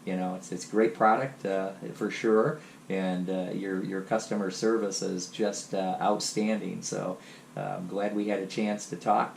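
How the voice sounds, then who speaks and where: A man with a cold chatting casually over ham radio, relaxed and full of fillers. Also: on the low side, creaky. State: has a cold. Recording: echoey room, faint noise.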